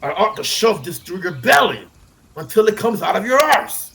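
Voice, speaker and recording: A man's voice: sits high, sing-song, normal speed, vocal fry, sharp tone, voice pushed up, full character voice. A man voicing a blunt, hot-tempered young knight in an audiobook. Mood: furious. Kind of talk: audiobook character acting.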